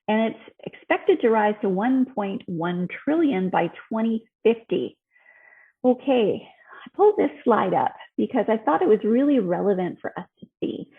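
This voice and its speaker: A woman's voice: medium pitch, vocal fry, narrow, tinny audio. Thin-sounding American woman giving an informal lecture. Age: 50s. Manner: conversational, explanatory. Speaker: health educator presenting a class.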